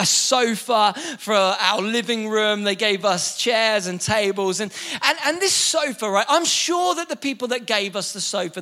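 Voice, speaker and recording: Young preacher, high bright voice, lively and jokey, stumbles over restarts. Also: male voice. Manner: energetic, joking, many restarts. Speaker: pastor. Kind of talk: sermon. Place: church hall with live congregation.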